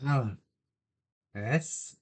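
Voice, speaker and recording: A man with a cold chatting casually on a home live stream from his loft, answering viewers and trying out halting Spanish phrases. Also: medium pitch. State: has a cold. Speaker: model railway live streamer.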